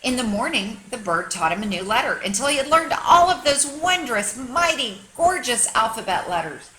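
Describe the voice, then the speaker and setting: A woman's voice: on the high side, big pitch swings, normal speed, bright, forceful tone, rough recording. A woman teacher reading a children's story aloud expressively, with an emphatic, teacherly delivery aimed at young children. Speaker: kindergarten teacher.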